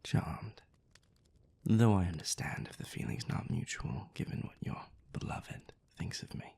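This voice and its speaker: Brief: man voicing a sardonic vampire aristocrat, deep, gravelly, slow, half-whispered barbs. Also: sing-song, darkish tone, exaggerated voice. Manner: dry, mocking, formally polite. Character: haughty sardonic male vampire, old rival. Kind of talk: audio roleplay acting.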